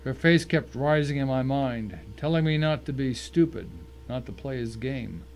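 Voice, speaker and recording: A man's voice: mid-range voice, normal speed, darkish tone, slight background noise, natural voice. A man playing a tense, hard-bitten thriller narrator who tells his own ordeal in dramatic scripted first person. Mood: tense, grim.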